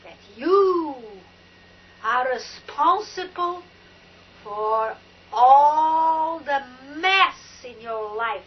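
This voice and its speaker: A woman's voice: high-pitched, lively intonation, lo-fi sound. An older woman from Portugal with a Portuguese accent, hosting a spiritual talk in an emphatic, exclamatory way. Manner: emphatic and exclamatory, drawn-out words. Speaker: spiritual talk show host.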